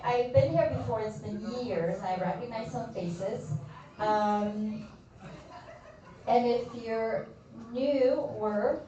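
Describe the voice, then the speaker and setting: A woman's voice: mid-range voice, warm tone. A woman from Poland with a Polish accent, a nurse practitioner giving a chatty health talk to a group in a community room. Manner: friendly, chatty, addresses the audience directly. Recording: distant roomy echo, slightly dull.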